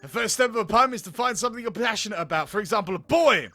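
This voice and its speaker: A man's voice: high voice, animated, fast, sharp tone, faint music behind, heavily acted. A man playing a scatterbrained, overconfident, dramatic loafer in a comedy visual novel, with silly delivery. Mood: mock serious.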